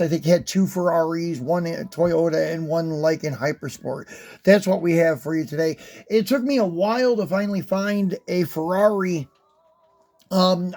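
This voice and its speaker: A man with a fairly high voice that is hoarse and worn from a sinus infection. He chats in a loose, rambling way, full of ums and uhs, as he hosts a die-cast collector unboxing. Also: darkish tone. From West Virginia, USA. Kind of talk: casual unboxing chat.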